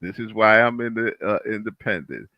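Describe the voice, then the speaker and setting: A man's voice: lowish voice, normal speed, no echo, muffled recording. A man in a heated panel discussion, speaking emphatically and repeating his phrases for emphasis.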